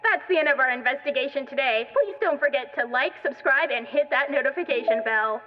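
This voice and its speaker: A woman's voice: high voice, a little fast, close to an everyday voice. A young woman playing a casual, chirpy host of a paranormal investigation vlog in an audio drama. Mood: casual, puzzled. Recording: lo-fi video audio with music.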